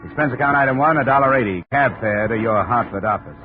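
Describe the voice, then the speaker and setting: Tinny old radio actor as a dry American investigator. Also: male voice, mid-range voice, quick pace, faint music behind, close to an everyday voice. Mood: dry, businesslike. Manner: clipped, dry, matter-of-fact. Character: hard-boiled male freelance insurance investigator, dry and businesslike. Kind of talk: 1950s radio detective acting and narration. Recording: tinny, narrow-band vintage radio recording.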